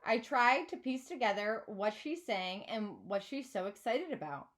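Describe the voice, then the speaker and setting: A woman reading a children's novel aloud like a teacher, with expressive, dramatised narration. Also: on the high side, measured pace.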